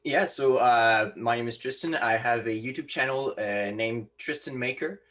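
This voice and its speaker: A man's voice: mid-range voice, moderate pace, fairly bright tone. A seventeen-year-old young man from Quebec, a native French speaker with a slight Quebec French accent, answering interview questions hesitantly with many fillers. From Quebec, Canada. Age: teens. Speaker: guest maker.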